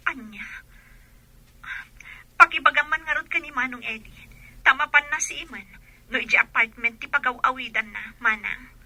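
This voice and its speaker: A woman's voice: high-pitched, normal speed, long pauses, sharp tone, dry recording, heavily acted. A woman playing a dutiful, emotional grown daughter in a radio drama, with a Filipino accent and an earnest, melodramatic delivery. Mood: firm, instructing.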